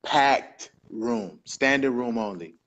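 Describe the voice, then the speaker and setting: A man's voice: medium pitch, slow, a bit bright, lo-fi sound. A man from Georgia with a Southern accent, a guest telling his story in an easygoing, upbeat way on a video call. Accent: Southern American. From Georgia, USA. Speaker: guest, crypto device distributor. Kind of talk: casual interview over video call.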